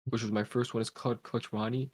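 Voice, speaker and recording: A man's voice: mid-range voice, flat delivery, fast talker, darkish tone, breathy edge, dry recording. A man in his twenties, a podcast guest, telling a long story in a loose, rambling way full of "like". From Cuba, raised in Pennsylvania. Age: 20s. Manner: rambling, heavy use of "like". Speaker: podcast guest, content creator. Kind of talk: casual storytelling. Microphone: podcast call mic.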